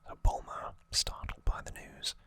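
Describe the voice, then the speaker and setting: An ASMR host whispering a conversational recap in a breathy, unvoiced, hushed voice. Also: normal speed.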